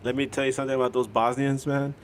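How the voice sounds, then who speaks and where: A man from Los Angeles co-hosting a casual soccer talk show, animated and quick to laugh. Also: mid-range voice, a little hiss or hum. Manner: animated storyteller, laughs often. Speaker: podcast co-host.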